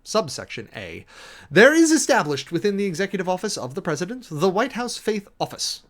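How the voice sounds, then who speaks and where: A man's voice: high voice, huge pitch swings, a little fast, fairly bright tone. A man giving a dramatic, emphatic reading of a formal document, announcing headings and punctuation as he goes. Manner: theatrical, emphatic, spells out punctuation and section headings. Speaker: streamer and host reading a document aloud.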